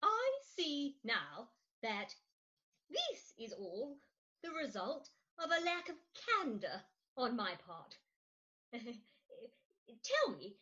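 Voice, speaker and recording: A dull, lo-fi recording of a high-voiced wife played by a woman in a stage comedy. She is theatrical and indignant, slow and emphatic, pleading her case with great drama. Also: bright, forceful tone, heavily acted. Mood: confiding. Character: Agatha Posket, dramatic middle-aged Victorian wife and indignant, cajoling mother. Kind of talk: comic Victorian stage play reading.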